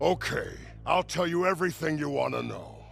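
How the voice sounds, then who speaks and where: A man playing a cowed captive in a video game cutscene, explaining submissively in a very creaky, gravelly voice. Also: fairly high pitch, expressive, normal speed, a bit bright, soft background music, exaggerated voice. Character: cowed male captive confessing under questioning.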